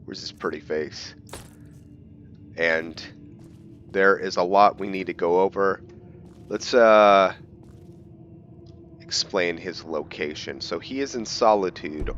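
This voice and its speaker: A man's voice: deep voice, fairly bright tone. A man giving casual gameplay commentary as a host, rambling as he explains things step by step. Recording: dull lo-fi mic with faint noise.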